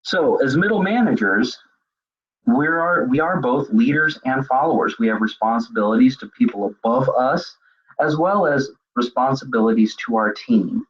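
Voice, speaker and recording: A man's voice: mid-range voice, expressive, moderate pace, slightly rough, highs cut off. A man presenting a web seminar on leadership, speaking conversationally with frequent fillers and self-corrections. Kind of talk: lecture on leadership communication.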